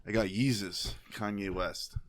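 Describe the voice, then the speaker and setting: A man's voice: fairly low pitch, steady tone, a little slow, no echo. A man in a casual group chat, talking in a laid-back, slangy way.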